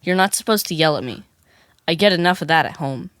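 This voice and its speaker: A man's voice: a little high, fast talker, fairly bright tone, clear, resonant tone, dry recording, studio quality, close to an everyday voice. An actor playing a stubborn, literal-minded boy in an audio comedy drama, snarky and questioning, with an American accent.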